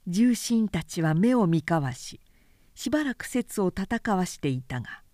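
A woman reading literary fiction aloud as a narrator, in a measured and composed storytelling manner. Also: mid-range voice, big pitch swings, mellow, no echo, studio quality.

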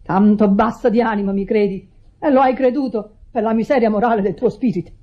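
A woman's voice: medium pitch, brisk, roomy sound, lightly acted. An actress with a Neapolitan accent playing a defensive, righteous middle-aged sister in a radio drama, insistent and repeating her words. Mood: indignant. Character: defensive, wounded, righteous sister running the household. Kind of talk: stage-style Italian radio drama acting. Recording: muffled old radio drama recording.

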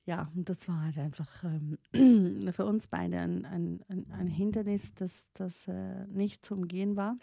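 A woman's voice: lowish voice, gravelly, dark tone, dry recording, studio quality. A woman in her late thirties with a Swiss accent, German not her first language, musing hesitantly about her past with many fillers and restarts. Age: 30s. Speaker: streamer. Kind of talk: reflective personal storytelling.

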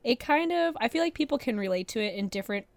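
Casual woman podcast interviewer with creaky voice. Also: a little high, lively intonation, fast talker, no echo.

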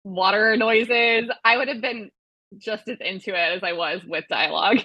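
A woman's voice: mid-range voice, normal speed, no echo, dull audio. A woman bantering casually on a film podcast in a wry, teasing manner.

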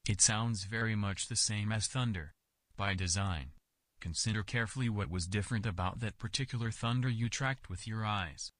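Man acting quietly confident male friend, low voice, deliberate, matter-of-fact, story dialogue, dry close sound. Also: dry recording, natural voice. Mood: quiet confidence. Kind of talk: dramatised short story dialogue.

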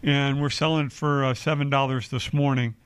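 A man's voice: medium pitch, fairly even intonation, moderate pace, clean tone, no room sound. A man hosting a live radio shopping show, reading deals and taking calls, with lots of uh fillers. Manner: chatty, many uh fillers. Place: radio studio.

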